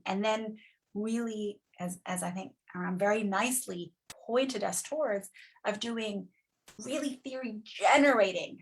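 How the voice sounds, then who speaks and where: A woman's voice: medium pitch, gravelly, a bit bright, slightly rough, poor audio. A woman with an American accent speaking as an academic panelist, emphatic and careful with her wording. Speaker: academic panelist, South Asia scholar.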